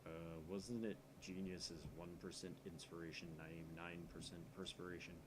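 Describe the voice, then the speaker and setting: A man's voice: sits low, flat delivery, fairly quick, very noisy, pitched below the performer's own voice, lightly acted. A man voicing a sceptical young hacker sidekick in a visual novel, dry and deflating. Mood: unimpressed.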